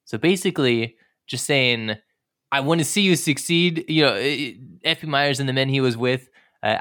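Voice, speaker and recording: A man's voice: mid-range voice, bright, forceful tone. A man hosting a conversational history podcast, talking informally and with enthusiasm. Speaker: podcast host.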